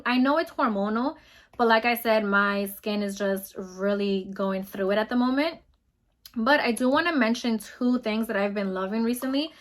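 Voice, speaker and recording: A woman in her twenties with a New York accent, chatting quickly and bubbly through a casual product review vlog. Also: on the high side, fairly bright tone. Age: 20s. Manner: fast-talking, bubbly and emphatic. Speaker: beauty vlogger.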